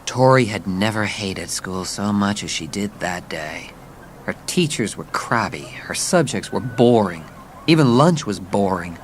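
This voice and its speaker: A man's voice: lowish voice, lively intonation, a little slow, a little hiss or hum. A man narrating a dramatised children's story with scripted, dramatic storytelling.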